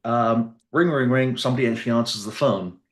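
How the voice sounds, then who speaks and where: A man's voice: mid-range voice, fairly quick. Guy guest telling his story, earnest and rambling, sounds kinda roomy. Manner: earnest, rambling, with fillers and restarts. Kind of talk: personal storytelling in an interview.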